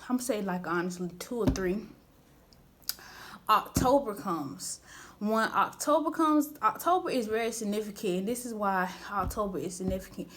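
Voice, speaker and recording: A woman's voice: medium pitch, expressive, normal speed, a bit bright, a little hiss or hum. A woman of twenty, chatting candidly through a casual storytime vlog, slangy and rambling with restarts. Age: 20s. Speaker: vlogger.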